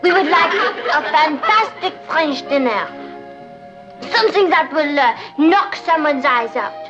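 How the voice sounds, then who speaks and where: A young woman playing a melodramatic, scheming, excitable teenage girl, theatrical and emphatic, in a vintage TV sitcom. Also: sits high, moderate pace, highs cut off, loud music behind the voice, over a noisy crowd. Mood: affectedly grand.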